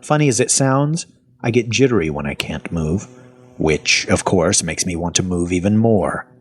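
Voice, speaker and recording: Guy acting weary sarcastic captive narrator, deep husky voice, swings around a lot, deadpan clipped lines, audio horror story. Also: normal speed. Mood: wry narration. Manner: deadpan, wry, clipped phrases. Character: weary, sardonic male zombie patient narrating his captivity. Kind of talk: first-person audio fiction narration.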